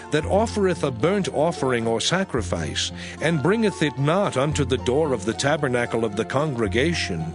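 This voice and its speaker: A man reading scripture in a formal, solemn and measured declamatory style. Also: medium pitch. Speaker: scripture narrator. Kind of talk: dramatized audio Bible reading, formal narration. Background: music.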